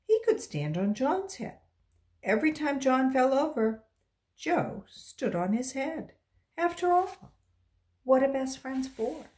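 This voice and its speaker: Female children's story reader, slow delivery, lively wide-ranging pitch, explanatory asides to young listeners. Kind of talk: animated storybook read-aloud to children.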